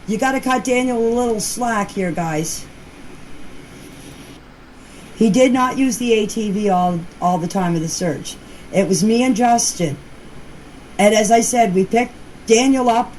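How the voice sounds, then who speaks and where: A woman's voice: medium pitch, a little mellow. A woman speaking informally and emphatically in voice messages, repeating herself for emphasis and using colloquial, non-standard grammar. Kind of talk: informal voice messages answering questions in a group chat. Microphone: voice clips recorded for a group chat. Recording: noisy informal voice-message recording.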